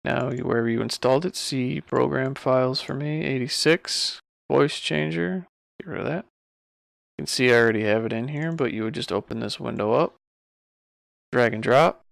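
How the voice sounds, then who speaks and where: Male tutorial host, offhand and informal, heavy vocal fry, close dry sound. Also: mid-range voice, moderate pace, no room sound. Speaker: streamer giving a software tutorial. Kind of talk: casual screen-recorded tutorial.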